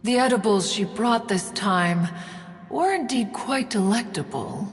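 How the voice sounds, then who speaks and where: An actress playing a proud, ancient adeptus in a video game, speaking in a stately, formal, imperious way. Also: female voice, mid-range voice, unhurried, lightly acted. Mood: haughty. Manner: stately, imperious, formal, calling herself "one". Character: haughty, proud ancient female adeptus, archaic formal speech. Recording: long reverb, music behind.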